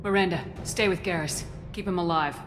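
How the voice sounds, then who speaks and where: Actress as no-nonsense female military commander: lowish pitch, clipped and direct, game dialogue in a long reverb. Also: brisk, a little hiss or hum, natural voice. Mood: commanding. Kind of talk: video game dialogue acting. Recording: reverberant game audio with background music.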